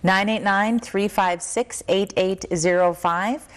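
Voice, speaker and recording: A woman's voice: mid-range voice, steady tone, slow pace. An American woman chatting casually and informatively as a guest on a talk show.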